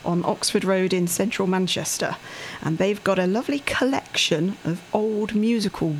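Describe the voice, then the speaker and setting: A chatty woman co-hosts a relaxed talk show. She laughs easily and breezes through local recommendations. Faint background noise sits under her voice. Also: medium pitch, moderate pace, rough recording. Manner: chatty, laughs often, reads out listings. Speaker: co-host. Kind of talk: casual radio chat.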